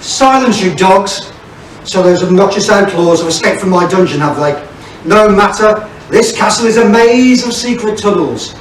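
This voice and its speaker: An actor playing a blustering, gloating pantomime sheriff, theatrical and bombastic. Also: male voice, high-pitched, animated, moderate pace. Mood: angry, blustering. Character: blustering, gloating villainous pantomime sheriff, cowardly when beaten. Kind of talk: stage pantomime villain acting. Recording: very echoey hall, distant mic, faint noise.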